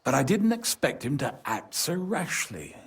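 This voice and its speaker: Worldly medieval nobleman for a game; rough husky voice, animated intonation, formal and worried. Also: fairly high pitch, measured pace, heavily acted. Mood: earnest. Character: worldly, anxious medieval lord seeking a favour. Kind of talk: video game cutscene acting.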